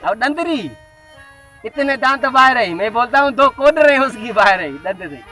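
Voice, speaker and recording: A man's voice: very high voice, animated, normal speed, bright, forceful tone, soft background music, heavily acted. A man playing a luckless, rambling bachelor in a comedy skit, complaining animatedly about his search for a wife. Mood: mocking, animated. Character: luckless, grumbling bachelor desperately hunting a wife by phone. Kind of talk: improvised comedy skit, casual chat.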